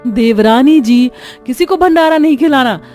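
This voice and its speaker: Woman acting a smug, high-voiced elder sister-in-law. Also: moderate pace, darkish tone, faint music behind, natural voice.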